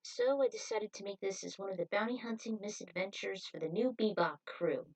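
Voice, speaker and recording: Woman narrator for self-written fan fiction, mid-pitched, recorded some way from the microphone. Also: fairly quick. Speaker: author reading their own fan fiction. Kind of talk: story narration read aloud. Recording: voice distant from the mic.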